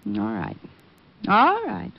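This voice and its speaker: Playful, animated sweetheart. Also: female voice, on the low side, takes it slowly, gravelly, heavily acted. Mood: mock serious. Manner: playful, mock-serious. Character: teasing, amused, devoted female companion of a detective. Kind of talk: 1950s radio comedy acting. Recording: muffled old radio recording.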